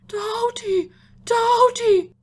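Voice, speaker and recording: Woman putting on a camel, fretful, wheezing, very high, slow, storybook. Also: steady tone, exaggerated voice. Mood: wailing. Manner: wheezing, wailing. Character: fretful, sentimental male camel.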